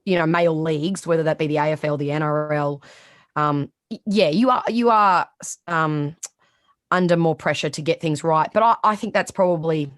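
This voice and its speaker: A woman in her thirties with a raspy voice and a strong Australian accent, talking bluntly as a guest in a casual interview. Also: deep voice, normal speed, no room sound, clean studio sound. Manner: blunt, animated, swears freely. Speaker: sports reporter, guest.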